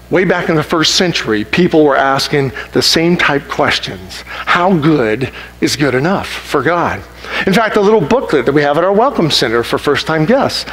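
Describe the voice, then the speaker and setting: A middle-aged man preaching a sermon on a church stage, animated and acting out imagined complaints. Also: a little high, low-quality recording. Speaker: pastor. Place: large church auditorium stage.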